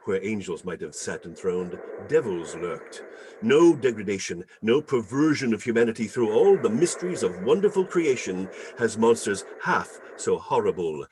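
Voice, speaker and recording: A man playing a grave narrator of a dark ghost story, delivering solemn, ominous scripted lines. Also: on the low side, sing-song, normal speed, a little hiss or hum, poor audio. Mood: somber, horrified.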